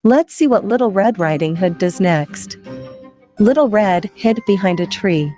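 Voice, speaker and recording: A woman's voice: medium pitch, expressive, a little slow, mellow, faint music behind. A woman hosting story time for children, playful and chatty, putting questions to her young listeners.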